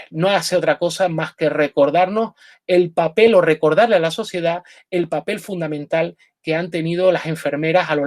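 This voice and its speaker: An earnest lecturer talks through a nursing history presentation at a pub event. The voice sits at a middle pitch. Sentences often restart, and the same filler word keeps coming back. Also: a little mellow. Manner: earnest, repeats "directamente" often, frequent restarts. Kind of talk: live public lecture with slides.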